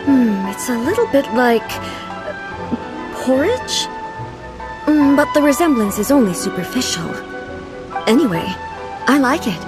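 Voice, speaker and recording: An actress playing a calm, dignified ruler who speaks formally and with composed authority in an anime game. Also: female voice, sits high, measured pace, long pauses, low-quality recording. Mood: curious. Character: dignified female ruler, ageless, calm, regal, aloof yet curious. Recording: loud background music.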